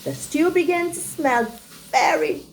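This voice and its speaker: A woman reading a picture book aloud to young children as a teacher, pausing to check in with her listeners. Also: extremely high pitch, a little hiss or hum, rough recording.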